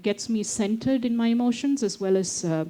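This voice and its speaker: A woman's voice: a little high, dark tone. A woman poet from India reading her poem aloud and then talking about her writing with many fillers and restarts. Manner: measured recitation, then hesitant chat with many fillers. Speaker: poet on a festival panel. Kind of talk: poetry reading then panel talk.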